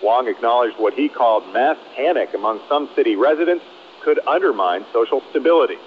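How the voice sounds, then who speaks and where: A man's voice: mid-range voice, lively intonation, brisk. A man delivering a scripted foreign news report as a correspondent. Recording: tinny phone-like line with noise.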